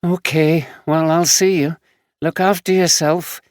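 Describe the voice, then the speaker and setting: A man voicing a caring young homeless woman in her twenties, lifting his voice higher and lighter for her in a storybook reading. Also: steady tone, measured pace, no echo, clean studio sound, close to an everyday voice. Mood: concerned.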